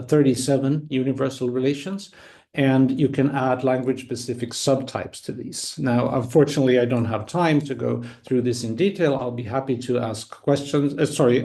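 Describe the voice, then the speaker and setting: Mellow-voiced Swedish man lecturing. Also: medium pitch. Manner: measured, explanatory, with filler restarts. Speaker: conference speaker. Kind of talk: academic conference talk. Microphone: online conference.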